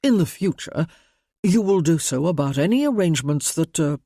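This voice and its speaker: A man voicing a courteous, composed gentleman lord in a storybook reading. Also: mid-range voice, expressive, normal speed, no echo, clean studio sound. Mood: calm, measured.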